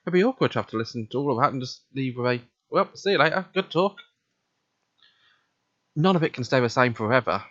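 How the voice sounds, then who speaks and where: A man's voice: mid-range voice, expressive, fairly quick, fairly bright tone, highs cut off. A man reading a visual novel aloud in a casual, conversational way, acting out dialogue as he goes. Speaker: let's play reader voicing the protagonist.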